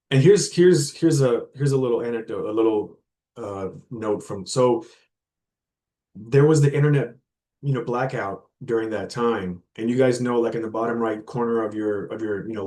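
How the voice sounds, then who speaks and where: A man's voice: fairly low pitch, a little mellow. A man giving an informal guest lecture to university students. He talks conversationally, with frequent fillers and repeated words, and stops to explain terms plainly.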